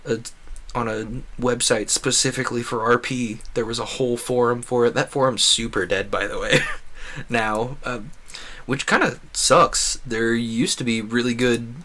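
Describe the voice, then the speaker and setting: A man's voice: mid-range voice, a bit bright. A man chatting casually over gameplay as a livestreamer, often breaking off and rephrasing as he rambles. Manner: halting, self-correcting, trails off and rephrases. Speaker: livestreamer and video creator.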